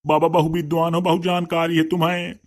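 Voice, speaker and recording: A man's voice: on the high side, little pitch movement, fairly quick, close to an everyday voice. A man voicing a deferential, plain-spoken villager in a rustic comedy cartoon, with a Bundelkhandi accent. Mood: deferential, eager.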